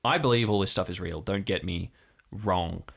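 A man playing an earnest, wary young woman from a compound in an improvised audio drama, speaking in a hesitant, deliberate way. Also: deep voice, creaky, fairly bright tone, heavily acted.

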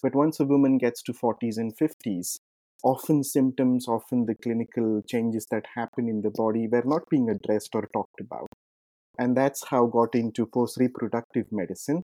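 A man from India, a clinician answering interview questions in a measured way, with frequent ums and uhs. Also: mid-range voice, steady tone, dark tone, no room sound, low-quality recording. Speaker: clinician guest.

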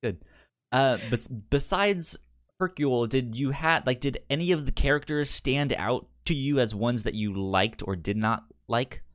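A man's voice: medium pitch, unhurried, fairly bright tone. A man co-hosting a casual film discussion, stammering and repeating the first sounds of words. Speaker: podcast co-host.

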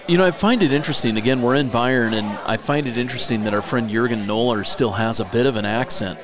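A man's voice: mid-range voice, fast, a crowd in the background. A man in his forties hosting a casual interview, curious and chatty, laughing easily as he talks. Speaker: host. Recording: clear music behind.

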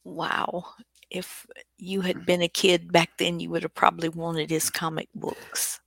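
A woman chats casually as a radio host, a little hesitant. She speaks slowly, with plenty of vocal fry. The sound is dry, with no room around it. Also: on the low side, little pitch movement, fairly bright tone, no echo. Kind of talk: casual chat.

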